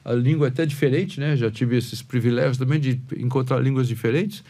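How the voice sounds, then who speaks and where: A man's voice: medium pitch, a little fast, dark tone. A Brazilian man, a pastor and podcast guest, telling stories in a rambling way with many restarts. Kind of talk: casual podcast conversation.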